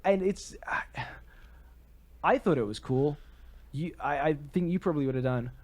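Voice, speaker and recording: Mellow, opinionated male podcast co-host. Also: fairly high pitch, lively intonation, moderate pace. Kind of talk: casual discussion and commentary on theatre.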